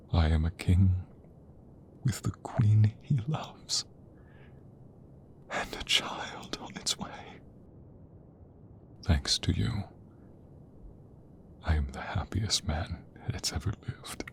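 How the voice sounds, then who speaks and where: A man playing a tender, devoted king in a romantic ASMR audio roleplay, speaking intimately with a soft, breathy voice. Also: low voice, expressive, exaggerated voice. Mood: moved, loving. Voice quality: breathy, soft, often whispered. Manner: slow, intimate, emotive delivery. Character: tender, devoted young king in love with his queen.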